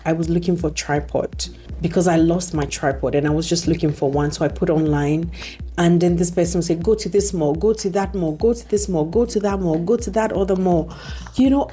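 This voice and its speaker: A young woman from Ghana talking casually to camera as a travel vlogger, animated and exclaiming, with bursts of laughter. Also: fairly low pitch.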